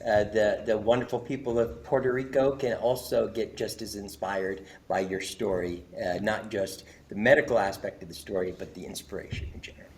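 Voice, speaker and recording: A man from Jordan speaks as a podcast guest, his voice sitting low. He talks in an earnest, expressive way, building up his story with feeling. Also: a little fast, few pauses. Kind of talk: casual conversation.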